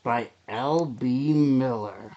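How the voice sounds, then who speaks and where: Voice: mid-range voice, very slow, dark tone, dull audio. A narrator reading a scripted horror short story aloud.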